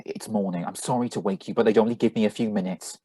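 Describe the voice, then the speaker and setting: A man playing an earnest, worried husband with a husky voice in a live radio thriller reading. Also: on the low side, steady tone, fast, dark tone, no echo. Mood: worried, pleading. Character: Bill, earnest worried husband wrongly arrested for murder.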